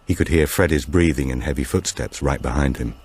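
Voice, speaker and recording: A male audiobook narrator with a notably deep voice. He reads scripted suspense fiction as a storyteller. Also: brisk, darkish tone, breathy edge.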